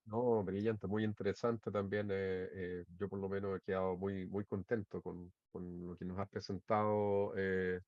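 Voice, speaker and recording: A man moderating an academic session informally, speaking hesitantly with pauses and restarted phrases. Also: sits low, little pitch movement, no echo. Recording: dull lo-fi sound.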